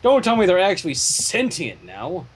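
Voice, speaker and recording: A man reading a story aloud dramatically, with expressive reactions and asides. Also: high-pitched, moderate pace, breathy edge. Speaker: fan fiction reader.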